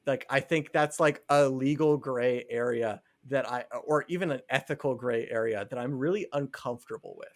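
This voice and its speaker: A man's voice: medium pitch, moderate pace, few pauses. A man co-hosting a podcast, talking casually and earnestly as he argues his opinion, qualifying points as he goes. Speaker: podcast co-host.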